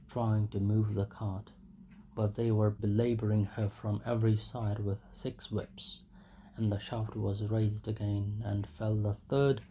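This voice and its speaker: Low-voiced man reading a literary story. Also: warm tone. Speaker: audiobook reader. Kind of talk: dramatic literary narration. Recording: noisy home recording.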